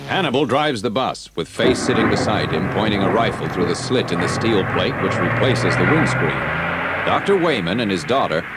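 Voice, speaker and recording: A man's voice: lowish voice, very animated, moderate pace, heavy background noise. A man narrating a scripted children's adventure story as its storyteller. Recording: old story cassette, music underneath. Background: music.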